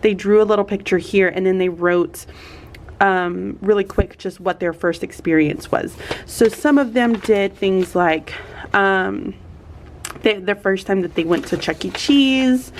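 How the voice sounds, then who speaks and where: A young woman talks through her school day as a teacher, chatty and upbeat, full of little fillers. Her voice sits at an ordinary middle pitch and is caught by a camera mic in a classroom. A faint hiss of background noise runs under it. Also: measured pace. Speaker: teacher vlogger. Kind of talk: casual classroom vlog.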